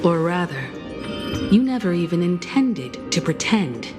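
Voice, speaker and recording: Low-voiced, composed, stern heroine. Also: female voice, big pitch swings, music in the background. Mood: cool suspicion. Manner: measured, stern, accusing. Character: composed, resolute woman confronting her father's impostor. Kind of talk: video game voice acting.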